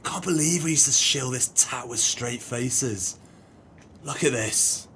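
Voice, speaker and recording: A man's voice: medium pitch, slow, bright, forceful tone, a little hiss or hum. A man playing a bitter, scheming swindler in an audio play, with theatrical, grandiloquent speech. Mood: bitter scorn. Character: bitter, scheming male swindler, eloquently exasperated.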